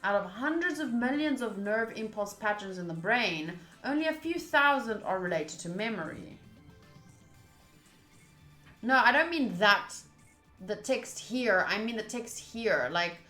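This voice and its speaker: Female game streamer, medium-pitched, reading on-screen text and chatting casually; roomy echo, faint music behind. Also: expressive, a little slow, sharp tone. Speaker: streamer playing a visual novel.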